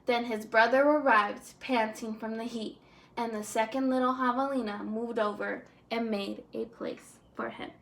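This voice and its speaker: A woman teacher reading a children's story aloud expressively, deliberate and simple for young listeners. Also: on the high side, steady tone, gravelly.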